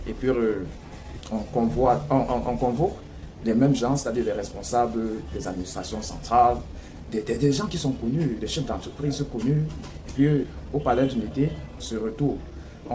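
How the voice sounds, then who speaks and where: A man with a Cameroonian French accent giving political commentary in an emphatic way, full of rhetorical questioning. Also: medium pitch. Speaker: news commentator. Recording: clear background noise.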